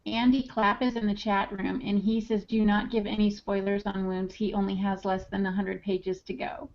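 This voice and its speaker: A woman's voice: mid-range voice, flat delivery, normal speed, darkish tone. A woman moderating a podcast, casually putting questions to the other speakers. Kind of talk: casual interviewing. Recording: dull lo-fi voice recording.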